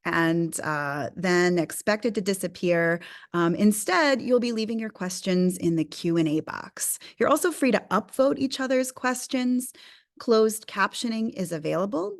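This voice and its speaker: A woman hosting a live webinar, reading housekeeping notes and introducing the guest speaker in a clear, upbeat way. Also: medium pitch. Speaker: webinar host and editor. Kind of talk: scripted introduction.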